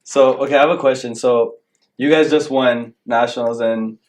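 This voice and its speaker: A young man co-hosting a student podcast, asking loose, rambling questions in a relaxed way. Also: medium pitch, moderate pace. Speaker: podcast co-host. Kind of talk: casual interview.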